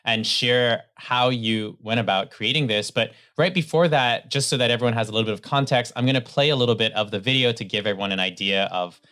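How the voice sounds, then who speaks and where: A man's voice: medium pitch, fairly quick, few pauses, pushed and bright. A man hosting an interview, upbeat and enthusiastic, summing up his guest's points. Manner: upbeat, enthusiastic, sums things up. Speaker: interview host.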